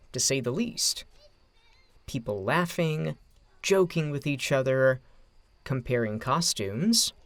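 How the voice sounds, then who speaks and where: A man's voice: on the high side, dry recording. A man narrating a scripted story as an audio drama narrator.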